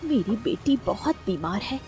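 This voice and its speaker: Cartoon role for a woman: poor village mother, plaintive, swooping intonation, clear music behind. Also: mid-range voice, big pitch swings, measured pace, mellow. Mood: pleading. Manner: pleading, plaintive. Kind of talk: Hindi cartoon story dialogue.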